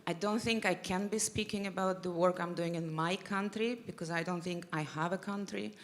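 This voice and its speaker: Woman speaking on a panel, lowish voice, echoey hall. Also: steady tone, normal speed. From Russia. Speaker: network director, panelist. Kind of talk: self-introduction.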